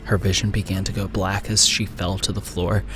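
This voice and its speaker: A man narrating a horror story in a dramatic, deliberate and suspenseful way, sometimes hushed. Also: deep voice, little pitch movement. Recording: music behind the voice. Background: music.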